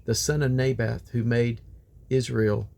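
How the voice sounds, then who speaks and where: A man with a creaky, gravelly voice reads the Bible aloud in a deliberate, solemn way, phrase by phrase. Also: mid-range voice, flat delivery, a little mellow. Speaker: Bible reader. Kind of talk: scripture reading aloud.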